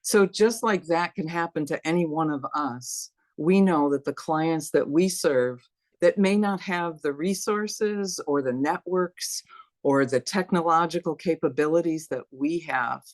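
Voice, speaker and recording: A woman's voice: low-pitched, no echo. A woman, a university dean, giving an earnest, passionate talk to an online forum, with rhetorical questions. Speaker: dean and guest speaker.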